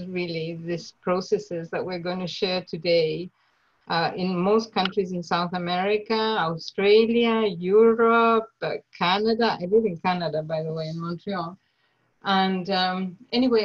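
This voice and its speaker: A woman from Argentina with an Argentine accent, leading an online workshop over a video call in a slow, earnest way. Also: medium pitch. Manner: slow, deliberate, earnest delivery. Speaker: nonviolence trainer. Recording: dull lo-fi online audio.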